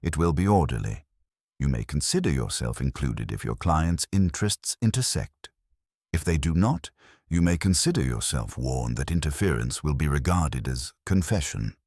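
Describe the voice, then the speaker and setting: Guy doing a pompous brainy detective man, super low voice, lively pitch, precise and formal, audiobook. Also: normal speed, no echo, studio quality, full character voice. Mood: warning. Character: imperious, pedantic male genius detective.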